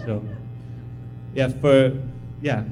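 A man's voice: medium pitch, steady tone, extremely slow, rough recording. Humble, chatty man preaching over music. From Tanzania. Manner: humble, casual, encouraging, with many fillers. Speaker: visiting missionary speaker. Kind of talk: informal church sermon. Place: church sanctuary.

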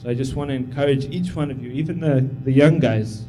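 A man's voice: medium pitch, steady tone, fairly quick, warm tone, a little hiss or hum, rough recording. A man in his thirties who grew up in Tanzania, giving an informal, humble sermon as a visiting missionary, encouraging and full of fillers. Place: church sanctuary.

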